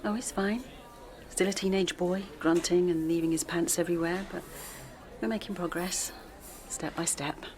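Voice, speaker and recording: A woman's voice: on the low side, steady tone, moderate pace, a little mellow, lightly acted. An actress playing a reserved middle-aged English mother in a naturalistic audio drama, subdued and guarded. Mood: polite, guarded. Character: reserved teacher, mother of a teenage son.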